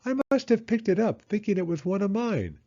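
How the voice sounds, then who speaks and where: A man's voice: sits high, big pitch swings, a little fast, mellow, highs cut off, voice pushed up. A man voicing a fussy, alarmist male owl in a children's storybook, with a dramatic, exclaiming manner. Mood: sheepish.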